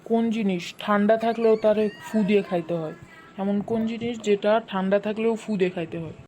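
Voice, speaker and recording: Bumbling middle-aged cartoon uncle, a woman voicing him, Bangladeshi Bengali accent, halting "ahh" thinking, mid-pitched, noisy take. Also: fairly even intonation, warm tone, close to an everyday voice. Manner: hesitant, drawn-out thinking sounds. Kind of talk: cartoon dubbing. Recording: noisy home recording.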